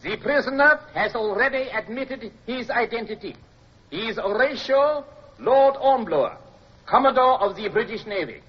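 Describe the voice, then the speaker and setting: An actor playing a coldly zealous military prosecutor in a radio drama, formal, declamatory and insistent. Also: male voice, very high-pitched, animated, moderate pace, pauses often. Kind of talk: mid-century radio drama acting. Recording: muffled old radio recording.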